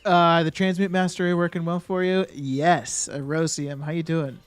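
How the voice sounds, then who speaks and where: A man's voice: a little high, flat delivery, moderate pace, smooth, no room sound, soft background music. A man live-streaming a game, chatting casually with viewers. He thinks aloud and answers chat with lots of fillers and restarts.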